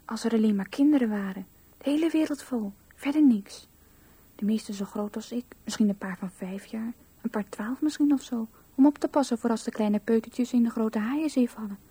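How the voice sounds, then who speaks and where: A woman playing a naive, dreamy small girl in a radio drama, often breathy and hushed. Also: a little high, moderate pace, no room sound. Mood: dreamy, curious. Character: small dreamy chattering girl, the widow's childhood self.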